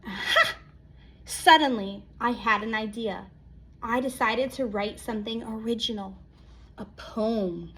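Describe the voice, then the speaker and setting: Female storyteller, high voice, expressive picture-book read-aloud delivery with dramatic pauses. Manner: animated, acts out exclamations, dramatic pauses.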